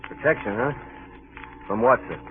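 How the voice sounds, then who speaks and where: An actor playing an anxious, deferential ship's mate in a 1930s radio drama, plain-spoken and colloquial. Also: male voice, mid-range voice, normal speed, faint music behind, lightly acted. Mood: uneasy, curious. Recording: noisy, tinny 1930s radio recording.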